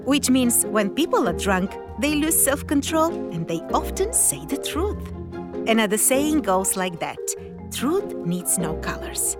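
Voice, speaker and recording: Female narrator, higher voice, animated read, clear music bed. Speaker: segment presenter. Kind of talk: scripted narration.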